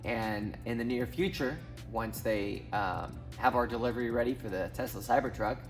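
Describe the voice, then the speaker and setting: A man's voice: mid-range voice, normal speed, soft background music. A man in his thirties from Southwest Missouri, talking casually to camera as a finance YouTuber, rambling with many ums and restarts. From Southwest Missouri, USA. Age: 30s. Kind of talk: casual talking-head vlog.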